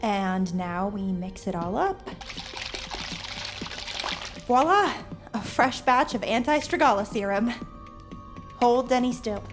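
A woman's voice: fairly high pitch, lively intonation, slow, pauses often, music in the background. An actress playing a gung-ho, theatrical young woman in an audio drama, eager and dramatic.

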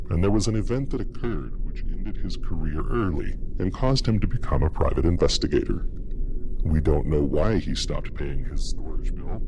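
An actor playing a sly, menacing, all-knowing narrator of a horror audio drama, with a gravelly voice and a deliberate, insinuating delivery. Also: male voice, background noise. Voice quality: very deep, gravelly. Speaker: in-character host recapping a horror audio drama. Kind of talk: scripted horror podcast narration.

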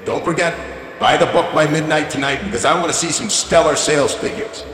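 A man's voice: fairly high pitch, fairly quick, a bit bright, very echoey, in a large hall, natural voice. A man playing a crude, blustering corporate boss. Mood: exasperated. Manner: profane, irritable, rambling. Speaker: corporate CEO giving holiday address.

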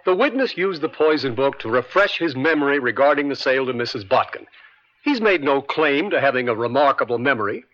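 A man acting a brisk, methodical prosecuting attorney in a radio courtroom drama, with precise, formal, controlling questioning. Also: mid-range voice, animated, fairly bright tone, close to an everyday voice. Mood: firm, objecting. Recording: dull vintage radio broadcast sound.

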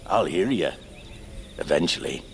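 A man's voice: sits low, expressive, unhurried, background noise. A man voicing a carefree, folksy feral hermit creature of the woods in an audio drama, with a drawling, theatrical delivery. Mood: breezy. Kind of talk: audio fiction character acting.

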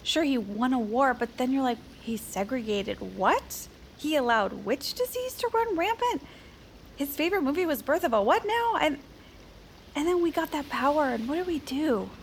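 An actress playing a blunt, quirky old confidante in an audio drama, deadpan with rambling odd humor. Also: female voice, sits high, moderate pace, slight background noise. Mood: frank, regretful. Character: dry, quirky, frank old confidante of a First Lady. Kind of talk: audio fiction drama dialogue.

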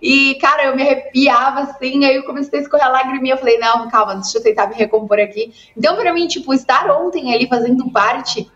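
A woman's voice: high-pitched, fairly even intonation, quick pace, hardly any pauses, a bit bright. A young woman, an esports caster, chatting emotively with lots of fillers in a casual video call interview, on an echoey, dull lo-fi recording.

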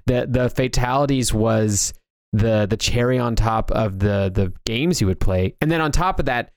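A man with an average-pitched voice talks in a loose, conversational way as a discussion show co-host. He often repeats a word while finding his point. The sound is dry, with very little room in it. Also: darkish tone, clean tone, dry recording. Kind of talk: casual pop-culture discussion.

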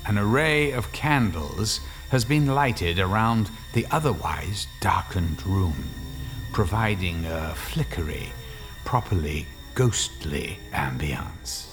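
A man's voice: low-pitched, expressive, slow pace, over music, full character voice. A man playing the wry, ominous narrator of a scripted radio horror drama. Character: wry, ominous male narrator of a ghost story.

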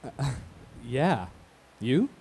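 Slow, terse radio host. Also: male voice, big pitch swings, vocal fry, a little mellow, slightly rough, full character voice. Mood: guarded flirting. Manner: terse, low-key, clipped replies. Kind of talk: radio play acting. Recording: some background noise.

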